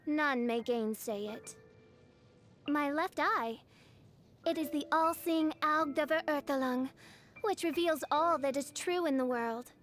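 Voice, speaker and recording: A woman's voice: high voice, unhurried, a bit bright, slight background noise. A woman voicing a haughty, theatrical teenage girl who styles herself a gothic princess, speaking in pompous, archaic phrases for a video game. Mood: grandiose. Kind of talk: English video game character voice lines.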